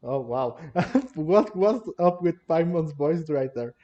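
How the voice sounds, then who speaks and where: A man's voice: a little high, lively intonation, slow pace, mellow. A man giving casual, rambling, hesitant commentary as he plays through a game. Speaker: gaming video host. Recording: dull, lo-fi microphone sound. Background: faint game music.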